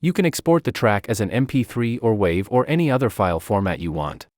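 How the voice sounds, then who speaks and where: A man giving a scripted software tutorial as a narrator, step by step. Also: fairly low pitch, very animated, a little fast, dry recording, clean studio sound.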